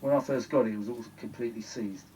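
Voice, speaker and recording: British man chatting, clear background noise. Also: mid-range voice, fairly even intonation, fast talker, mellow, poor audio. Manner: chatty, rambling, exclaiming. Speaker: vintage audio repair vlogger. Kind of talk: casual spoken demonstration.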